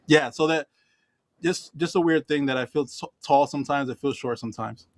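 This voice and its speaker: A musician in a casual group video chat, easygoing and halting, correcting himself as he goes. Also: a little high.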